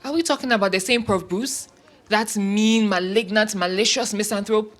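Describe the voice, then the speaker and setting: An actress with a Ghanaian accent playing an indignant young medical student who grumbles emphatically in an audio drama. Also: female voice, mid-range voice, moderate pace, lightly acted. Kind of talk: scripted audio drama acting.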